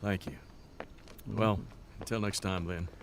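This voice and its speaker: Gravelly, weary rancher. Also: male voice, low-pitched, fairly even intonation, unhurried, a little hiss or hum. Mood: wry. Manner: folksy, stoic, matter-of-fact storyteller. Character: weary, plainspoken Montana rancher hit by drought. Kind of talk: naturalistic audio drama dialogue.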